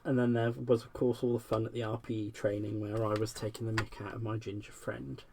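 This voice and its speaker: A man with a soft West Country accent hosts a live crafting stream. He chats along in a relaxed, self-deprecating way and laughs often as he works. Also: lowish voice, fairly quick, gravelly, noisy background. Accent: West Country English. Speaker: craft livestream host. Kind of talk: casual live-stream chat while crafting.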